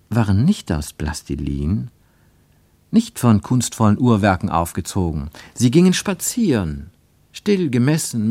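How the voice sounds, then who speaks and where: A man narrating a literary radio play with expressive, deliberate phrasing. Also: medium pitch, dry recording.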